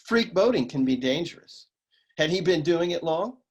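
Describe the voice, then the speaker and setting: A man playing a hard-boiled, wisecracking private detective in a comic noir radio serial, deadpan and husky. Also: fairly high pitch, normal speed. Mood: puzzled, deadpan. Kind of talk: parody noir radio drama acting.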